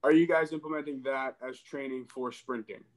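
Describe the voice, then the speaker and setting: A man's voice: medium pitch, fairly even intonation, brisk, poor audio. A man on a group video call, chatting casually as he puts questions to the others. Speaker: call participant asking questions.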